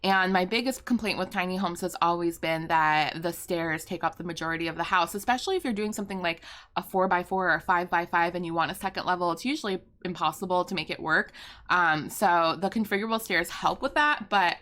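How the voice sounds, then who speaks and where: Bright, bubbly young woman rambling along. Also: fairly low pitch, brisk. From United States. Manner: bubbly, chatty, rambling with fillers. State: slightly hoarse. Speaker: YouTuber and streamer. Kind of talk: casual chat over a building video. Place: home room with pastel backdrop and fairy lights.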